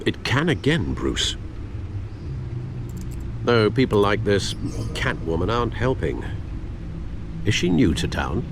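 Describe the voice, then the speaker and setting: An actor playing an older British butler, formal and measured, with a caring, dryly witty way of gently admonishing in game dialogue. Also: male voice, fairly low pitch, very animated, background noise, full character voice. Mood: dry concern. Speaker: butler and confidant.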